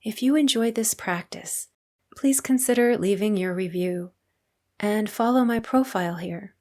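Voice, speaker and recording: A woman leading a guided meditation as a teacher, speaking in a calm, unhurried, soothing way with long pauses. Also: medium pitch.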